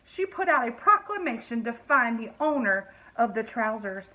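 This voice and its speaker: A woman reading a picture book aloud to children, pausing to explain the story and ask questions. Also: on the high side, sing-song, pushed and bright, highs cut off. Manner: explanatory, asks listeners questions.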